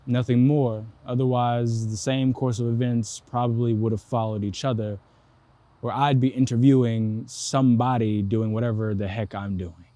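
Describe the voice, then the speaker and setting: A man's voice: medium pitch, steady tone, moderate pace, no room sound. A young man vlogging his travels in a casual, slangy and reflective way, talking straight to the viewer. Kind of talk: casual vlog narration. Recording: loud background noise.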